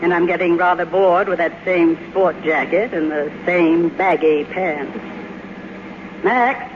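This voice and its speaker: An actress playing an imperious, deluded, aging silent-film star in a haughty, theatrical 1950s radio drama style. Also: female voice, fairly low pitch, takes it slowly. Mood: imperious, needling. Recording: dull, noisy 1950s radio recording.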